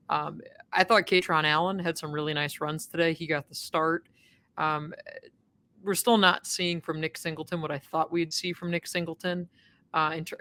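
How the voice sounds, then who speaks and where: Booming-voiced woman co-hosting a sports podcast. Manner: lively storytelling, self-described booming voice. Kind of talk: casual sports podcast chat. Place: stadium press box.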